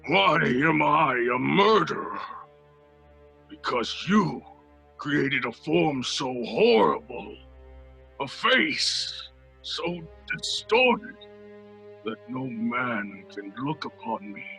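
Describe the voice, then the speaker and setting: Slow, gravelly, lonely monster. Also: male voice, medium pitch, big pitch swings, bright, forceful tone, exaggerated voice. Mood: wounded, brooding. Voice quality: gravelly, raspy growl. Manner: slow, halting, fragmented phrases. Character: monster, lonely pleading menacing male outcast creation. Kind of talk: old-time radio horror acting. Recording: music under the voice.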